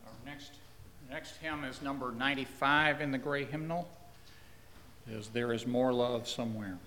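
A man leading the congregation in prayer, speaking softly and solemnly. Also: mid-range voice, steady tone, in a large hall. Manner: soft, slow, solemn. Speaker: worship leader. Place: church sanctuary.

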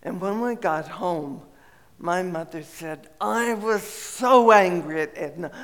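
An older woman from Colorado with a raspy voice, telling a personal story in a slow, gentle way with many pauses. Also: on the low side, big-room sound. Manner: slow, gentle reminiscing with frequent pauses and fillers.

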